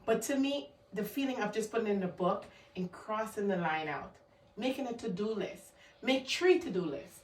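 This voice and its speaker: A woman's voice: medium pitch, lively intonation, moderate pace, a little mellow, reverberant, distant mic, slight background noise, low-quality recording. A woman with a Guyanese accent giving an emphatic motivational talk, repeating her key words for stress. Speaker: motivational vlogger.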